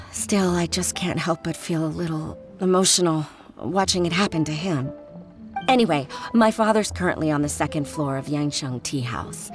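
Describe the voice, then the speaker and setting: Woman as troubled young woman in a game, low voice, soft and sighing, music under it. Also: normal speed, natural voice. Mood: worried. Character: young woman worrying about her aging father. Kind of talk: game voice acting. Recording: game dialogue with music behind.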